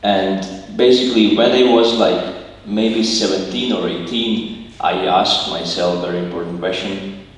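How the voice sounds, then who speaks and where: A man's voice: deep voice, fairly even intonation, unhurried. Young man presenting in an echoey hall. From Ukraine. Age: young adult. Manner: non-native English, plain and earnest, explaining slide by slide. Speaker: student presenter. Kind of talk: slide presentation talk. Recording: echoey hall, mic far off, faint noise.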